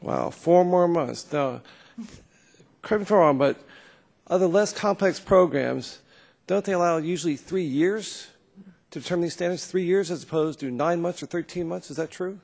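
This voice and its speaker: A man's voice: a little high, normal speed, creaky, darkish tone. A man from Texas, a congressman questioning witnesses at a formal hearing in a folksy, pointed way with short punchy questions. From Texas, United States. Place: legislative hearing room. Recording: long reverb of a hearing room.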